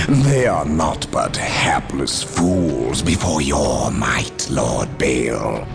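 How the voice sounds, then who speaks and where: A man playing a scheming, mocking evil sorcerer in a video game, gravelly, sinister and theatrical. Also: extremely low pitch, expressive, very slow, exaggerated voice. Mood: smooth scheming. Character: scheming, mocking evil sorcerer, power-hungry, falsely servile. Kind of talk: theatrical game villain acting. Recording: music behind the voice. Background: dramatic game music.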